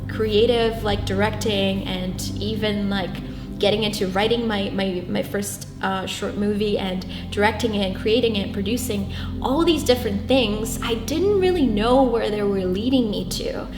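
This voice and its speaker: A young woman from Brazil, whose first language is Portuguese, answers interview questions in an earnest, halting way, restarting her sentences often. Her voice sits at a middle pitch and rings around a roomy space. Music plays clearly behind her. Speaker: guest. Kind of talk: reflective interview talk.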